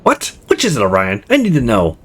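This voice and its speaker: A man's voice: medium pitch, wildly swooping pitch, fairly quick, husky. A man voicing an eager, teasing young woman gamer in a visual novel, playfully insistent. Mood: hurt, insistent.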